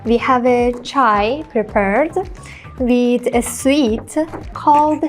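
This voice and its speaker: Higher-pitched woman hosting. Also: extremely slow, soft background music. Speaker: host. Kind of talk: casual hosting, addressing guests and viewers.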